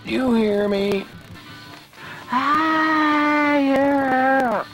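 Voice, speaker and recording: A man playing a belligerent military zealot in an amateur audio drama, rallying with drawn-out shouts. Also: very high voice, music in the background, exaggerated voice, low-quality recording. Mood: fired-up, aggressive.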